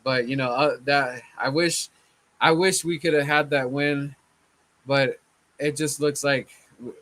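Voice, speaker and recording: A man hosting a casual sports fan chat, his voice a little hoarse from chanting, laid-back and often saying "man". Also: mid-range voice, fairly even intonation, unhurried, a bit bright. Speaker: fan channel host.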